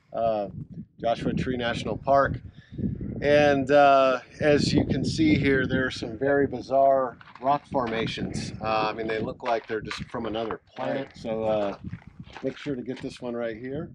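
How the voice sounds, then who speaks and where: A middle-aged American man hosting a travel vlog outdoors, giving casual, halting tour commentary to a camera a few feet away. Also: mid-range voice, fairly even intonation. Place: outdoors in open desert. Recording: outdoor camera audio with clear background noise.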